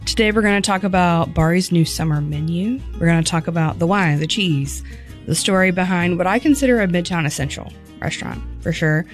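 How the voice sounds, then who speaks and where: A woman hosting a dining podcast in casual chat, a little hoarse, chatty and quick to laugh. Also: on the low side, soft background music. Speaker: podcast host.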